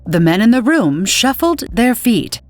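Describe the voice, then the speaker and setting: A woman's voice: on the high side, very animated, measured pace, dry recording, faint music behind. A woman narrating a horror story in a dramatic, suspenseful old-time radio style.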